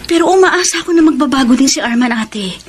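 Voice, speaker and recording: An actress playing a hurt, devoted middle-aged wife in a Filipino radio drama, with an earnest, plaintive delivery. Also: female voice, high-pitched, animated, quick pace, slight background noise. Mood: sad, devoted.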